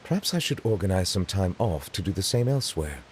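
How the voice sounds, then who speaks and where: Stately game judge, low voice, formal and precise, measured, dry humor. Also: male voice, sing-song, a little hiss or hum. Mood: composed. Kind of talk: video game voice acting.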